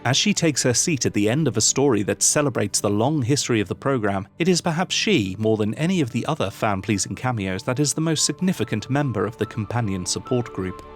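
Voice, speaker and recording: A man narrating a scripted video essay in a measured, formal, articulate manner. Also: mid-range voice, lively intonation, faint music behind.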